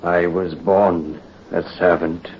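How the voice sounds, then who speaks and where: A gravelly old servant. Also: male voice, sits low, level tone, slow pace. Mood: humble. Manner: humble and deferential. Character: aged, devoted male servant of a count. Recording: dull, faintly hissy 1930s radio recording.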